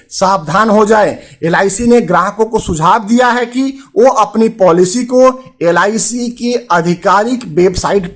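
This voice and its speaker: A man with an Indian accent hosting a finance advice channel, addressing viewers formally and emphatically, repeating phrases for stress. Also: high-pitched. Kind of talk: presenter addressing viewers with a consumer alert.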